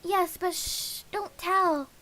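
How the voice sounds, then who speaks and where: A timid, plant-loving schoolgirl voiced by a woman from a visual novel. She has a high, bright voice and speaks slowly, with a sheepish gentleness. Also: fairly even intonation, heavily acted. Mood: shy, hushed. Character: shy plant-loving schoolgirl skipping class. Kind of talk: visual novel character read aloud.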